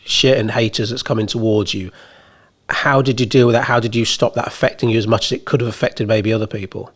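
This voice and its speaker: A man's voice: fairly low pitch, steady tone. A man hosting a podcast, asking questions and chiming in with enthusiastic reactions. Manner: enthusiastic, quick interjections. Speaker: podcast host. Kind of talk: casual podcast interview.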